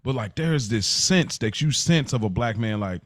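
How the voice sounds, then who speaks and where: A man's voice: medium pitch, expressive, normal speed, a bit bright, dry recording, clean studio sound. An American man chatting as a podcast co-host, informal and slangy, thinking things through aloud. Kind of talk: casual conversational podcast chat.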